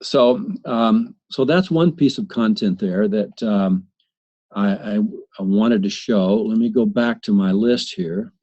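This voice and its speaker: Halting man presenting a webinar demo. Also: fairly low pitch, mellow. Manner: halting, many fillers and restarts. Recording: slightly muffled webinar audio.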